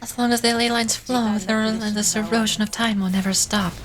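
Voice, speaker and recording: A woman's voice: on the high side, steady tone, brisk, crowd noise, lightly acted. A woman playing a solemn, resolute immortal ruler and warrior in a video game, speaking in a formal, grave, declamatory manner. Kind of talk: video game dramatic voice acting.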